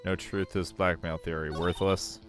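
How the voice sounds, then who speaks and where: A man's voice: low-pitched, level tone, moderate pace, music in the background. A man giving casual, offhand commentary as he streams a video game. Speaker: gameplay streamer.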